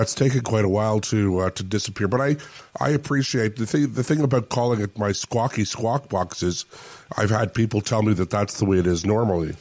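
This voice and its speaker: A man co-hosting a podcast, hoarse from a cold, with a squawky voice and a dry, teasing way of chatting. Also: fairly low pitch, a little mellow, no room sound. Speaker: podcast co-host. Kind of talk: casual chat.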